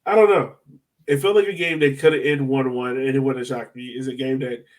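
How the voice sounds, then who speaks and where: A man's voice: mid-range voice, steady tone, fairly quick. A man hosting a podcast, chatting casually about sports. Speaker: podcast host.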